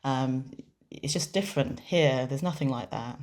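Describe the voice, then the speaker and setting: A woman with a strikingly deep voice gives interpreted interview answers in the first person. She speaks in a measured way, pausing often and restarting her phrases. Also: a little mellow. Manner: measured, with frequent pauses and restarts.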